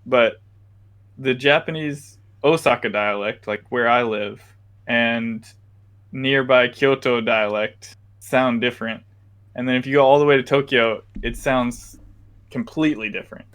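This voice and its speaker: Easygoing young man, halting casual talk. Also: mid-range voice, a bit bright, clear, resonant tone. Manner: easygoing, hesitant, lots of fillers. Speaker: podcast co-host. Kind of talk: casual chat.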